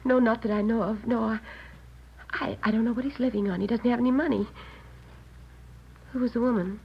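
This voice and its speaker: Anxious American seamstress, muffled vintage radio. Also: female voice, a little high, steady tone, fairly quick, creaky, slight background noise. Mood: distressed. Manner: hesitant, pleading, worried. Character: anxious, protective seamstress in her thirties, older sister. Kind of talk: 1950s radio drama acting.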